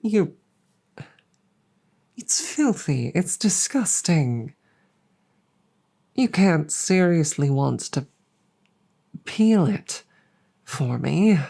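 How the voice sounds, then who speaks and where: A woman voicing a proud but embarrassed female dragon, tender toward a human partner, in an intimate roleplay audio drama, speaking formally and haltingly with a breathy voice. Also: low-pitched, sing-song, warm tone, full character voice. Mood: flustered, reluctant. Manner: formal, flustered, halting with repeated words.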